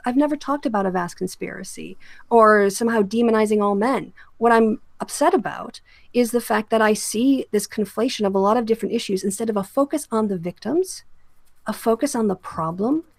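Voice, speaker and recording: A woman's voice: fairly high pitch, a little fast, gravelly, darkish tone, no echo. A woman hosting a discussion show, giving emphatic, critical commentary that sounds like an opinionated rant with direct address.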